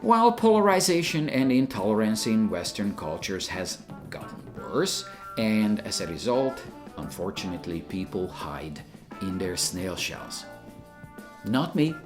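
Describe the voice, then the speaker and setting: An older man narrates his opinions in an emphatic, scripted way. His pitch moves widely and animatedly. Soft music plays faintly behind him. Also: sing-song, a little slow. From Hungary. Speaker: retired teacher.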